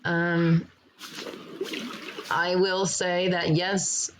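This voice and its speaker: A woman streaming a game in a relaxed, rambling chat, with a froggy morning voice and frequent laughter. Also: lowish voice, drawn-out words, slight background noise, low-quality recording. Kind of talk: casual live-stream chat while gaming. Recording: loud background music over the voice.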